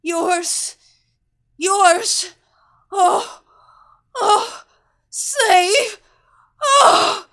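A woman's voice: lively intonation, very fast, hardly any pauses, darkish tone. A woman voicing a captive young female superheroine, breathless and crying out. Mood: frantic. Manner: breathless, crying out in rising pitch.

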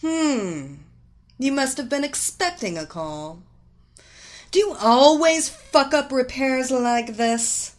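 A woman voicing an angry, haughty, sharp-tongued young woman in a story, barking out her words. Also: on the high side, big pitch swings, takes it slowly, voice pushed up. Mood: furious. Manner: barking, spitting out commands.

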